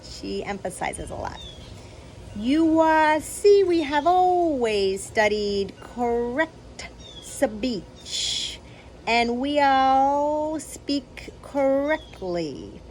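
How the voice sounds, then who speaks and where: A woman's voice: high-pitched, expressive, drawn-out words, a little mellow, slight background noise, pitched above the performer's own voice, exaggerated voice, rough recording. A woman voicing a prim, condescending neighbor lady who over-enunciates every word with heavy emphasis.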